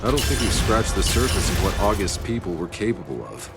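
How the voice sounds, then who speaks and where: An actor playing a gruff soldier exploring an alien world in a video game cutscene. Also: male voice, fairly low pitch, lively intonation, brisk. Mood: grave, intent. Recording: dramatic music behind the voice.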